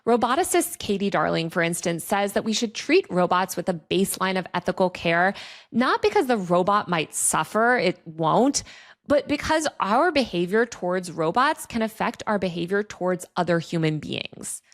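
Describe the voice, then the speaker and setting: A woman's voice: on the low side, animated, normal speed. A woman delivering video essay commentary as a tech reporter, speaking in an earnest, articulate and lightly conversational way.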